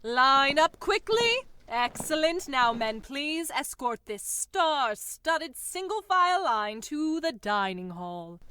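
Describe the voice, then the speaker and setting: An actress playing a theatrical prison-block mistress in an audio drama, announcing orders in a showy, deliberate way. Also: female voice, high voice, very animated, pushed and bright, slight background noise, heavily acted. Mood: grandly brisk.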